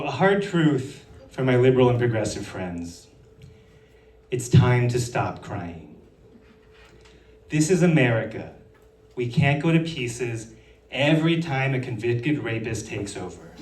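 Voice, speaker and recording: A man's voice: mid-range voice, noisy background. A man performing a stand-up comedy set on stage, delivering his jokes with dry sarcasm. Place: on stage in a hall. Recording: roomy hall, picked up from a distance.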